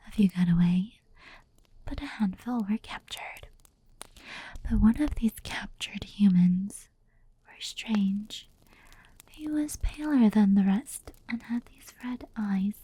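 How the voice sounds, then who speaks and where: A woman voicing an affectionate female fox spirit in a whispered anime ASMR roleplay, telling bedtime stories softly and intimately. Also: medium pitch, poor audio. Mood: hushed, eerie. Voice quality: soft, breathy whisper. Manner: slow, intimate, hushed storytelling.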